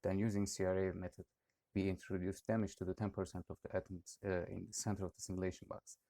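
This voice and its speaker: A man's voice: low-pitched, flat delivery, quick pace, creaky, warm tone, no echo, studio quality. A young man from Turkey reading out a student project presentation, with occasional hesitations. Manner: read-aloud explanation with occasional "uh" hesitations.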